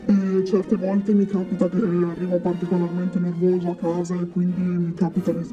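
A young-workshop performer playing a self-important, stressed city ogre businessman in a mock radio interview, speaking haltingly and matter-of-factly. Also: medium pitch, completely flat delivery, natural voice. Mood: curt, matter-of-fact. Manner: hesitant, matter-of-fact, halting. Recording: dull, lo-fi, music behind.

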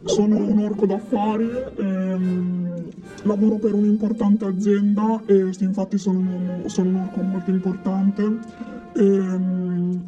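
A young-workshop performer playing a self-important, stressed city ogre businessman in a mock radio interview, speaking haltingly and matter-of-factly. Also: medium pitch, dark tone, close to an everyday voice. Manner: hesitant, matter-of-fact, halting. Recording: dull, lo-fi, music behind.

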